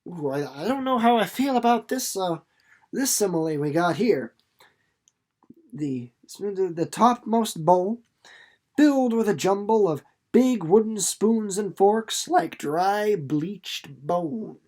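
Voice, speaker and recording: A young man with a fairly high voice reads a chapter aloud and talks it over. His delivery is casual and chatty, as if thinking out loud for his listeners. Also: lively intonation, takes it slowly. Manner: informal, chatty, musing aloud. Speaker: chapter reader and commentator. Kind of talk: storybook read-aloud with casual commentary.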